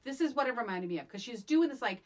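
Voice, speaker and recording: Middle-aged female podcast co-host, slightly high and very animated, emphatic, incredulous recapping. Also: quick pace. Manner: emphatic, incredulous, repeats phrases for effect. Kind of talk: casual comedic film recap chat.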